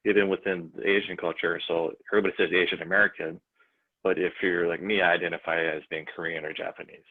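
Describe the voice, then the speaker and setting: A man, a church member, talking thoughtfully in a rambling way with restarts, as a guest on a video call. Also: low voice. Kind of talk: casual chat. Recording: tinny phone-like call audio.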